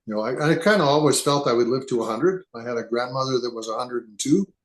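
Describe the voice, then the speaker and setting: A man's voice: mid-range voice, quick pace. A man in his seventies, born in England, talking thoughtfully as a podcast guest and often restarting his sentences. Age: 70s. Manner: thoughtful, rambling with frequent restarts. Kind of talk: relaxed conversational interview. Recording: echoey room.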